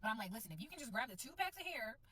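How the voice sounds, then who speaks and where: A woman's voice: a little high, big pitch swings, racing, sharp tone. A woman vlogger telling a casual storytime, chatty and exasperated, with faint ambient noise behind her.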